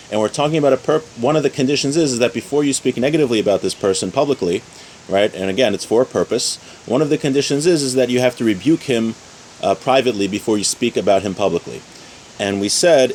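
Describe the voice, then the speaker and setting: A man's voice: mid-range voice, darkish tone, low-quality recording. A man giving an informal religious lesson outdoors, explaining conversationally and often rephrasing his points. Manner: conversational, repeats and rephrases, checks in with "right?". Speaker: religious class teacher. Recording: outdoor recording with faint ambient noise.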